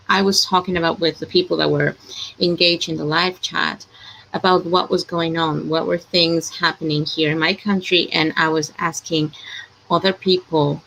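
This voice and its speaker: A woman's voice: on the low side, gravelly, a bit bright, a little hiss or hum, low-quality recording. A woman from Ecuador, hosting a casual live chat in a worried, hesitant way, sighing often.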